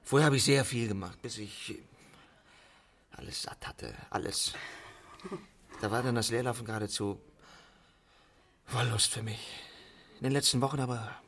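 An actor playing a jaded 1920s con man in a German radio play, brooding and halting, in broken phrases. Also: mid-range voice, fairly bright tone, a little hiss or hum, close to an everyday voice. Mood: weary, confessing.

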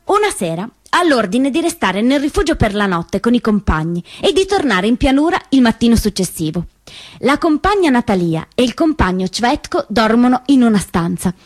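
Husky, high-voiced woman in theatrical narration. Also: very animated. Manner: dramatic, emphatic, deliberate phrasing with shifts from hushed to declamatory. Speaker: solo theatre performer and narrator. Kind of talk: theatrical scripted storytelling. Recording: roomy stage sound, faint music behind.

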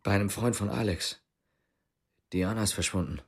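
Low, husky, earnest policeman. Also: normal speed. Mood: earnest, subdued. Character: earnest, uneasy East German policeman. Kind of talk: naturalistic radio drama acting.

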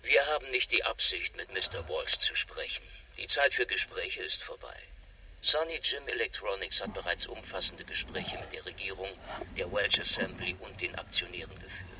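A man's voice: low voice, moderate pace, pushed and bright. Pompous, piercing corporate spokesman over radio. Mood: rehearsed, lofty. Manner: pompous, curt, rehearsed. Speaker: company spokesman. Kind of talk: formal press statement in radio drama. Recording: distant radio broadcast with faint noise.

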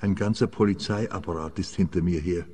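Dark-voiced, brooding fugitive in reverb. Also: male voice, low voice, breathy edge. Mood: bitterly amused. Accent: Swiss. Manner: halting, reflective. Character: weary, melancholy, brooding male fugitive client. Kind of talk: radio play monologue. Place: scene set in echoing church. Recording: long church-like reverb.

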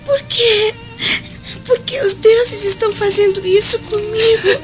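A weary, suffering little girl with a tiny, extremely high voice and Brazilian accent. She drags out her words through tears, in radio drama style. Music swells behind the dull audio. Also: fairly even intonation, a little slow. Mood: weary, tearful. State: crying, exhausted.

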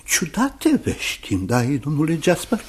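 An actor playing a fussy, kindly older guardian in a radio drama, deliberate and hemming as he talks. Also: fairly high pitch, huge pitch swings. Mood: concerned. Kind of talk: Romanian radio theatre acting.

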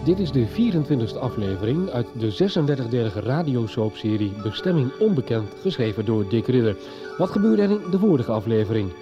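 A man narrating a scripted recap for a Dutch radio serial. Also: mid-range voice. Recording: slightly dull radio recording, music behind. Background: music.

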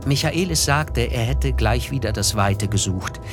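A male narrator reading a radio play in a measured, reflective way. His voice sits at a middle pitch, with clear music running under it. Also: expressive. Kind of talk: scripted literary narration.

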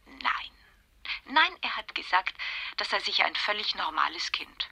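A woman's voice: mid-range voice, big pitch swings, normal speed, creaky, sharp tone, breathy edge, heavily acted. An actress playing a wry, teasing woman who dismisses worries in a radio crime drama. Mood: wry, dismissive.

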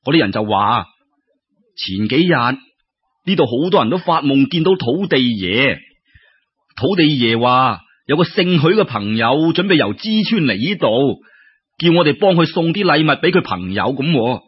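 Husky Cantonese male storyteller. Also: mid-range voice, very animated, moderate pace. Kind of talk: colloquial oral storytelling narration. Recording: dull lo-fi radio-style recording.

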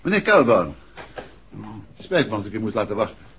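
An actor playing a composed, principled writer and amateur detective in a 1960s Dutch radio play, speaking politely and with assurance. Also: male voice, fairly low pitch, very animated, fairly quick, a bit bright, slight background noise. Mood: polite, businesslike. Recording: dull, muffled old radio recording.

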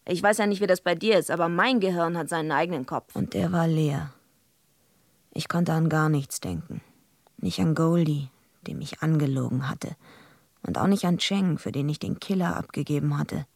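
A woman's voice: low voice, normal speed, no room sound. A woman playing a tough young female wrestler in a German radio drama, speaking in a blunt, dry, unpolished way. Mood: dry, brooding. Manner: blunt, dry, unpolished first-person telling. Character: tough young female wrestler narrating her crime story.

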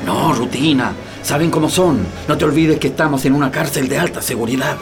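A man's voice: a little high, brisk, over music, close to an everyday voice. A man playing a watchful, practical prisoner in a radio drama, speaking with a Chilean accent. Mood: matter-of-fact. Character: watchful, practical male prisoner digging a tunnel.

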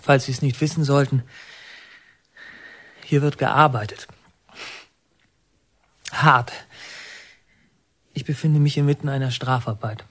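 Young delinquent narrator, dry close sound, husky, sullen, curt, intense, radio drama. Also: male voice, medium pitch, steady tone, slow, pauses often, no room sound. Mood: curt, defiant. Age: young adult. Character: sullen young male delinquent recounting his father.

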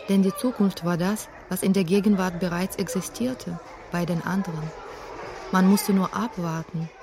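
A woman's voice: medium pitch, warm tone, slight background noise. A woman, around her thirties, from the former Soviet Union, reading scripted literary documentary narration as the author of a radio feature.

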